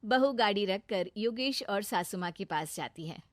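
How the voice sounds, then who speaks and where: A woman narrating a scripted animated village story. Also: mid-range voice, moderate pace, no echo, clean studio sound.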